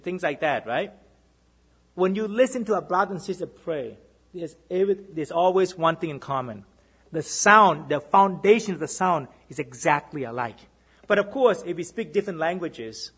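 A man with a Boston accent delivers a sermon in a slightly husky, higher-than-usual voice. He keeps asking his congregation "right?" and swings between emphatic lifts and quiet, dropped repetitions. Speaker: minister.

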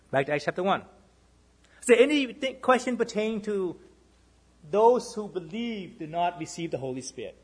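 Husky-voiced Boston preacher. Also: male voice, high-pitched. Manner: keeps checking in with listeners, asking "right?"; swings between emphatic lifts and hushed, dropped repeats. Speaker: minister. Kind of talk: sermon to a congregation.

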